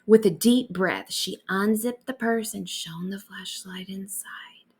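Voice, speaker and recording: A woman reading a bedtime story aloud as an expressive storyteller. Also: mid-range voice, a little slow, breathy edge.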